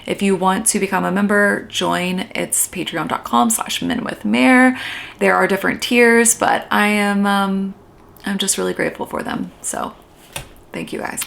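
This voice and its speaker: A woman therapist chatting casually in a rambling way, with a noticeable vocal fry in her voice. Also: medium pitch, measured pace, a bit bright. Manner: chatty and rambling, sometimes dropping to a whisper. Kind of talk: casual reaction commentary.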